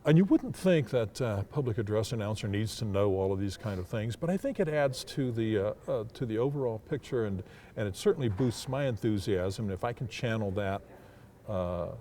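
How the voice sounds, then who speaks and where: Older male announcer in interview mode: low, very mellow voice, thoughtful, halting, formally worded delivery. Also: expressive, slight background noise. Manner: hesitant, deliberate, formal wording. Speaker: sports public address announcer. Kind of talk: reflective interview talk.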